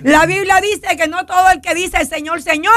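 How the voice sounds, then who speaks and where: A middle-aged woman with a Puerto Rican accent preaching an impassioned evangelical sermon, often repeating praise between phrases and sometimes shouting. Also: very high-pitched, fairly even intonation, normal speed, no room sound, studio quality.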